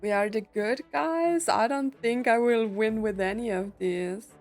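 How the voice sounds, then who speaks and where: A woman's voice: fairly high pitch, unhurried. A woman doing casual let's-play commentary, reacting and thinking aloud in short fragments. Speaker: game streamer. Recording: game music behind the voice.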